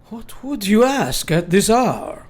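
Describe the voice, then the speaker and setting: Man playing a grave old professor, animated pitch. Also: full character voice. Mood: intent. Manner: formal, old-fashioned, deliberate phrasing. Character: grave, commanding elderly male professor hunting a vampire. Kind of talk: radio play acting.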